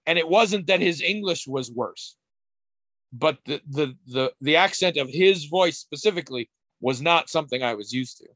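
A man's voice: fairly high pitch, lively intonation, normal speed, fairly bright tone, no room sound. Middle-aged male co-host, candid and rambling, casual swearing, dry close recording. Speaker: podcast co-host. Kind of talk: casual chat.

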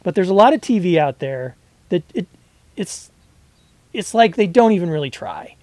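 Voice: medium pitch, animated, moderate pace, darkish tone, no room sound. A podcast co-host about to turn fifty, speaking in casual, rambling chat with hesitant restarts. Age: 40s.

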